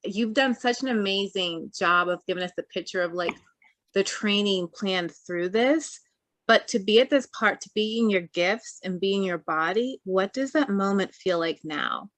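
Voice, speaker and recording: A woman's voice: medium pitch, normal speed, fairly bright tone, no room sound. A woman hosting a casual, personal interview, talking candidly and informally with frequent filler "like". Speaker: podcast host.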